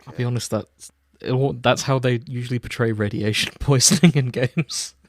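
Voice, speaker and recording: A man co-hosting a podcast, chatting casually and dropping deadpan jokes as short interjections. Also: mid-range voice, moderate pace, no room sound. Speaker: podcast co-host. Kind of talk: casual chat, joking interjections.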